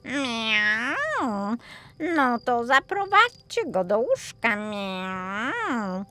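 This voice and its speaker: A woman's voice: a little high, sing-song, drawn-out words, bright, forceful tone, faint music behind, pitched above the performer's own voice, exaggerated voice. A woman voicing a gracious, bossy little talking tomcat in a fairy tale, coaxing and commanding with a meowing drawl. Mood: bossy, playful.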